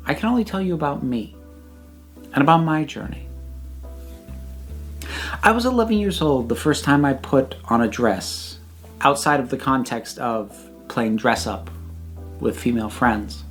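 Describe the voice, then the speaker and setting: A speaker in their thirties giving a prepared personal reflection, with measured, emphatic phrasing and deliberate pauses. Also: medium pitch, lively intonation, soft background music. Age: 30s.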